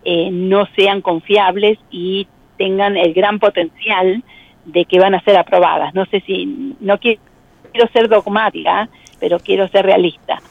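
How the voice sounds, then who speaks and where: A woman with a provincial Buenos Aires accent explaining things as an expert interviewee, in measured, hesitant phrasing. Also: medium pitch, fairly even intonation, creaky, no echo, narrow, tinny audio. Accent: provincial Buenos Aires Argentine. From Argentina.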